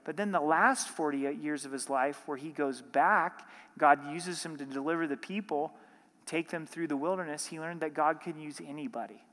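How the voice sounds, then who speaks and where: A man with a fairly high voice preaches a sermon, moving between scripture reading and explanation. He asks his listeners rhetorical questions as he goes. His voice rings with the long reverb of a large hall. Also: normal speed, fairly bright tone. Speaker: pastor.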